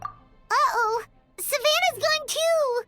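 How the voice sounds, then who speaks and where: A woman voicing a tiny, plucky, childlike sidekick creature in a video game, with a squeaky voice. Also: takes it slowly, sharp tone, soft background music, full character voice. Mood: worried.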